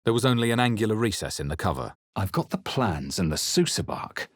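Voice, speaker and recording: A man voicing an earnest, wry male adventurer hero in a fantasy video game, telling his quest in a measured, brooding, dramatic way. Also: lowish voice, big pitch swings, no echo, clean studio sound. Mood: wry, musing. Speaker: video game player-character voice actor. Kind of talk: dramatic game narration and short character barks.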